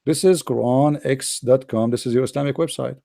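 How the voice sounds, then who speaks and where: A man whose first language is Arabic argues with a caller over a video call, pressing the same points again and again. His voice is mellow and dark, and the sound is close and dry. He fills his speech with rhetorical questions. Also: mid-range voice, a little fast, gravelly, no echo. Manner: insistent, repeats phrases, rhetorical questions. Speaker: host debating a caller. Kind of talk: argumentative call-in debate.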